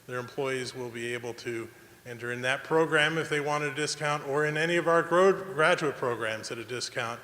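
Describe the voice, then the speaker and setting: A man's voice: mid-range voice, moderate pace, bright, forceful tone. A man representing a college, giving earnest prepared testimony at a public hearing with small restarts. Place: large public hearing hall. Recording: long hall reverb, faint ambience.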